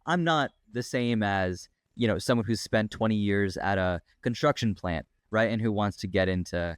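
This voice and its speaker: A man's voice: fairly low pitch, moderate pace, clear, resonant tone, no echo, clean studio sound. A man hosting an interview podcast, asking long, chatty questions that he often ends with "right?". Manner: chatty, tag questions like "right?", laughs easily. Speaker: podcast host.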